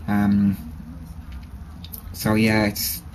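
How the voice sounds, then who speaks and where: A man casually showing a small knife in his workshop, relaxed and hesitant, with many ums and restarts, recorded on the camera's mic. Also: lowish voice, very slow, a little hiss or hum, rough recording. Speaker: bushcraft hobbyist showing a knife. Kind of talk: casual show-and-tell. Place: workshop with a grinder and workbench.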